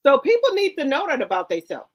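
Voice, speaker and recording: A woman's voice: high voice, wildly swooping pitch, fast. A middle-aged woman hosting casual commentary, blunt and lecturing, mixing slang into her talk. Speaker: commentary host.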